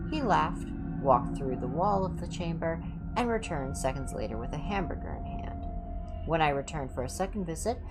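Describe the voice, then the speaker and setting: A woman livestream host reading horror fiction aloud like a clinical report, in a measured, deliberate way. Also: low-pitched. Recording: background music under the voice. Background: music.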